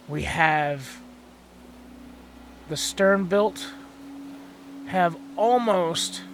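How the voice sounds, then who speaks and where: A young man with a gravelly, hoarse voice, tired and just woken up, casually narrating a gameplay tour from his bedroom, swearing freely and tripping over and correcting his words. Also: fairly high pitch, fairly bright tone, a little hiss or hum. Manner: stumbles and corrects own words. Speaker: channel host touring a build. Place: bedroom at home.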